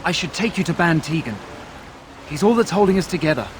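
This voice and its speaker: Man playing young fantasy villager, high voice, fearful, weary, deferential, faint background noise. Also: lively intonation, close to an everyday voice. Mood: anxious, deferential. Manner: anxious, halting, deferential. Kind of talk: fantasy video game acting.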